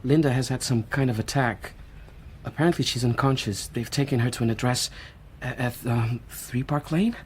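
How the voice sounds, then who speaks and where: A man's voice: mid-range voice, moderate pace, a little hiss or hum, lightly acted. An actor playing an anguished, volatile young man in a radio drama, theatrical and intense in his phrasing. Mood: shaken, subdued. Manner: declamatory, intense, archaic poetic phrasing. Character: anguished, volatile young man, a self-styled savage quoting Shakespeare.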